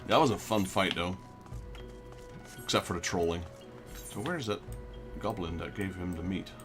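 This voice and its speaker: Low-voiced Dutch man chatting over gameplay. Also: moderate pace, music in the background. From Netherlands. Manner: chatty, talks back to viewer chat. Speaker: let's play host. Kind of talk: casual gameplay commentary.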